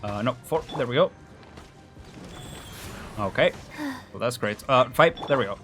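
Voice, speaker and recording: A male gaming streamer with a lively, swinging voice comments casually as he plays. His reactions are short, slangy and offhand, over faint game music. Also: medium pitch, unhurried.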